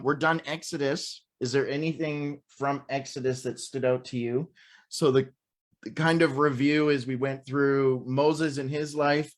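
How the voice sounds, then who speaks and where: Male livestream host, mid-pitched, casual, friendly and encouraging, speaking over a video call. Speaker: host of an online Bible read-along.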